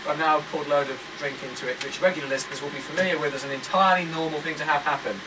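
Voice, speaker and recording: A man's voice: on the high side, steady tone, racing, far from the mic, heavy background noise. A man hosting a live radio show, chatting in a rambling, self-mocking way from a studio. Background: loud electronic music.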